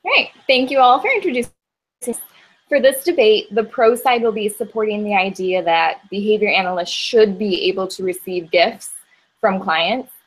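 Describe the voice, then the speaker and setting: A young woman from Indiana moderating an informal debate, reading a prepared introduction in a steady, organized way. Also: medium pitch, normal speed, rough recording. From Indiana, USA.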